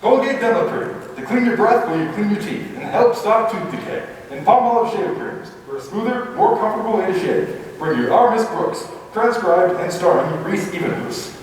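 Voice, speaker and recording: A man's voice: sits high, lively intonation, normal speed, few pauses. A man speaking as a formal, showmanlike old-time radio announcer to a live audience. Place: live stage before an audience. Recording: echoey hall, distant mic, faint noise.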